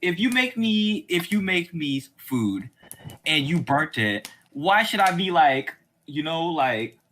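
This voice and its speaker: A man's voice: a little high, very animated, takes it slowly, fairly bright tone, low-quality recording. A man chatting casually and giving opinions, rambling colloquially and repeating himself for emphasis. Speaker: podcast host. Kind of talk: casual opinionated chat about TV.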